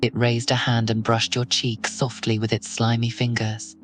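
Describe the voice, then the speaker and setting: A man narrating a horror audio roleplay in the second person, with a steady, ominous delivery. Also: mid-range voice, normal speed, faint music behind.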